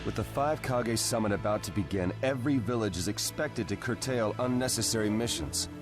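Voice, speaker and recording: An actor voicing an earnest, easily exasperated adult ninja officer in a video game dub. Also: male voice, on the low side, fairly quick, lightly acted. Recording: music under the dialogue.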